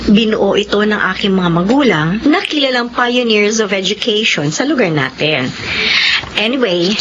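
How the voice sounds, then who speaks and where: A woman's voice: medium pitch, lively intonation, moderate pace, fairly bright tone, lightly acted. An actress with a Filipino accent playing a kind, generous, well-off employer, assured and motherly, in a sentimental radio drama. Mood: proud. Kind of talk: sentimental radio drama acting, Tagalog-English mix. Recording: dull lo-fi audio, faint background noise.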